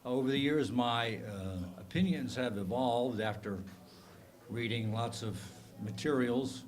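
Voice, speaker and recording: Older man lecturing with a cold. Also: medium pitch. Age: 60s. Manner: measured, methodical lecturing with frequent ums and uhs. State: has a bad cold. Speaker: guest speaker, retired professor. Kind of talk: lecture to a club meeting.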